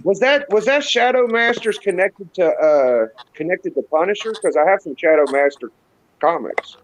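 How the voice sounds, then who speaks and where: A man's voice: high voice, expressive, moderate pace. A man chatting casually and slangily in a group call, full of bro and dude, with faint noise behind him.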